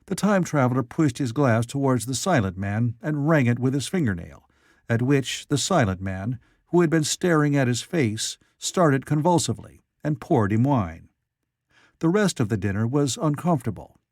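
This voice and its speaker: Male audiobook narrator, mid-pitched, very dry sound, scripted literary storytelling. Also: big pitch swings, moderate pace, darkish tone, dry recording.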